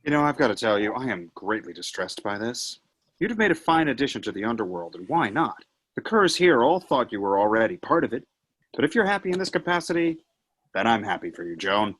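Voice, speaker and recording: Man playing a husky, wildly expressive Satan. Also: mid-range voice, brisk. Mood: sardonic. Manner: sneering, taunting, swings between mutter and outburst. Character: Satan, a mocking, brash, theatrical male devil. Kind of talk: acting in a virtual table read.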